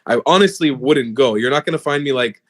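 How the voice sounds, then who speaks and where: A man's voice: mid-range voice, brisk, fairly bright tone. Young guy from the us, hebrew first language, mid voice, just chatting loose and honest. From USA (South Florida). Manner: candid and laid-back, with loose fillers and mild swearing. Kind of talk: casual chat.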